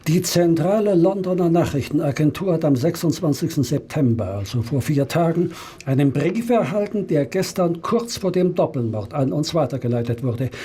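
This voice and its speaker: An actor playing an authoritative, businesslike police inspector with a husky voice in a German radio play. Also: male voice, fairly high pitch, animated, normal speed, dark tone, lightly acted. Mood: pondering. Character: brisk, commanding male police inspector.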